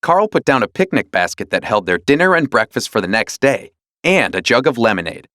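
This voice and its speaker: A man narrating a children's read-along storybook in an expressive, dramatic storytelling manner. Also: mid-range voice, brisk, dry recording, clean studio sound.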